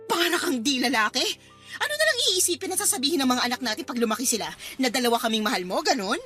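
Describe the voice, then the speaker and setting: A woman's voice: high-pitched, sing-song, quick pace, over music. A woman playing a bitter, combative wife and mother in a radio drama, sarcastic and confrontational. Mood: hurt, demanding.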